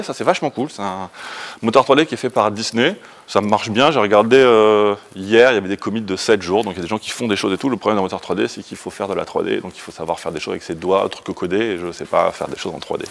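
A man's voice: lowish voice, gravelly. A man in his thirties speaking as a conference presenter in an informal, colloquial and opinionated way, often hesitating and interrupting himself. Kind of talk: informal tech talk to an audience. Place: indoor meeting room, standing before a projection screen.

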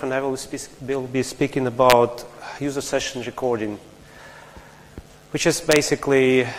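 A man gives a technical talk in a large lecture hall, his voice carrying a long reverb tail. He explains things step by step, often restarting phrases, and turns to the audience with questions. A faint hum sits beneath the room sound. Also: mid-range voice. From Russia. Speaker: conference speaker.

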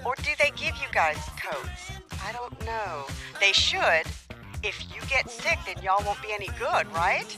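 Doting woman caller for a sports game: animated intonation, chatty, affectionate, fussing, music behind. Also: mid-range voice, a little slow. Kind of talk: naturalistic video game cutscene acting.

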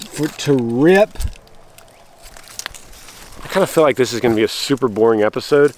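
An excitable man walks through rural woods with a handheld camera, talking to his viewers in bursts and restarting his sentences. His voice sits in a middle pitch. Faint outdoor noise stays behind him. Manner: excitable, breaks off and restarts, addresses viewers as guys. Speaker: vlogger showing storm damage. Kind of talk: excited walking vlog to camera. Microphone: handheld camera while walking.

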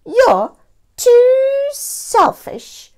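A woman's voice: extremely high pitch, huge pitch swings, extremely slow, darkish tone, voice pushed up, full character voice. A woman voicing blunt little kitties from a children's storybook, speaking emphatically. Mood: reproachful. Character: group of blunt storybook kitties scolding a friend.

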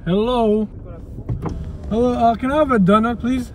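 A man's voice: extremely high pitch, steady tone, moderate pace, darkish tone. A man hosting a casual prank vlog from inside a car, talking to the camera and to drive-thru staff. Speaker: prank video host. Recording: noisy car interior, ambient vehicle noise.

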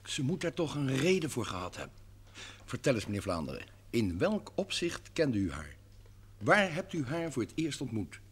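An actor playing a suspicious, insistent police inspector in an old radio drama, asking pointed questions. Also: male voice, medium pitch, wildly swooping pitch, moderate pace, husky, exaggerated voice.

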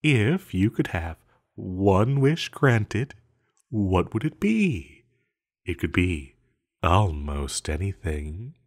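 A man playing an ancient banished villain in an intimate roleplay audio, seductive, possessive and scheming, with teasing, lingering pauses. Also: sits low, very animated, exaggerated voice. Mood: playful, coaxing.

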